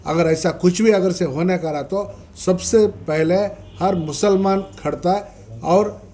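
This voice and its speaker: A man with a South Indian Dakhni Urdu accent giving a press statement as a spokesman, explaining haltingly and repeating himself with English terms mixed in. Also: high-pitched, warm tone. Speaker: party minority-wing official, spokesman. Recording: noisy press meet recording.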